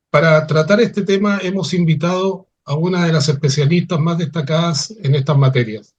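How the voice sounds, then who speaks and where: A man hosting an interview, giving a formal, measured introduction and then asking questions. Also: fairly high pitch, darkish tone, breathy edge. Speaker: interview host. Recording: dull lo-fi sound.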